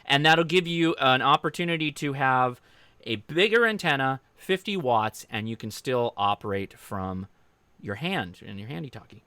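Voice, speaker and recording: Bright, clear-voiced American man, casual livestream host. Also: medium pitch, animated, normal speed, no echo. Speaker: host of a ham radio channel. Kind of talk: casual livestream chat, answering viewer comments.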